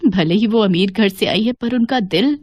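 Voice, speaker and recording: A woman's voice: on the low side, lively intonation, moderate pace, creaky, dry recording, studio quality, pitched below the performer's own voice. A woman voicing an elderly widowed mother-in-law in a family story, emotional, hurt and pleading. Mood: tearful remorse.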